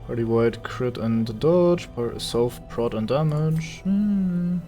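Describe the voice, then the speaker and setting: A man's voice: mid-range voice, lively intonation, takes it slowly, dark tone, faint music behind. A man from Germany with a German accent, giving casual, sarcastic commentary as he streams a game and swears at it.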